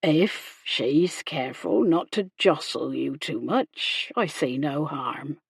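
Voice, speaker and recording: A woman voicing a kindly, proper male country doctor, gentle and hesitant, in a period romance audiobook. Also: sits low, animated, takes it slowly, creaky, breathy edge, dry recording, voice pushed down, full character voice. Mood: measured, professional.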